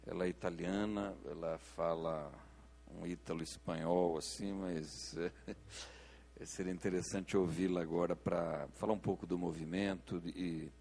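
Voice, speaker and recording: Gravelly deep-voiced event moderator. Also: male voice, steady tone, a little slow, a little mellow. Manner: informal, conversational. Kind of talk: introducing speakers at an assembly.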